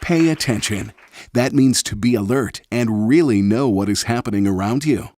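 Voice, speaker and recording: A man's voice: mid-range voice, normal speed, a little mellow, a faint crowd. Man narrating a children's listening lesson. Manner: instructive, short simple phrases. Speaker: narrator and quiz host for children.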